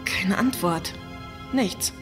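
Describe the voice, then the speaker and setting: A woman's voice: mid-range voice, animated, drawn-out words. A woman playing a spirited starship navigation officer in a science-fiction radio play. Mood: alert. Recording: music behind the voice.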